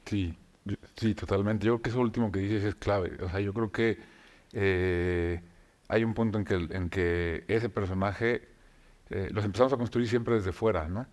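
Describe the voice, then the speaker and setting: A man, a novelist, talking reflectively about writing, with frequent pauses and restarts. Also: fairly low pitch, fairly even intonation, darkish tone. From Mexico. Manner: reflective, many filler hesitations and restarts. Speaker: novelist guest. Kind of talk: conversational talk show discussion.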